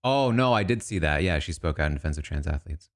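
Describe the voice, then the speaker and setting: Low-pitched male streamer, dry and wry, musing aloud with ums and likes. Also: expressive, quick pace. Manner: wry, thinks aloud with hesitant fillers. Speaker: live streamer. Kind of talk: casual live-stream chat, reacting to clips and chat.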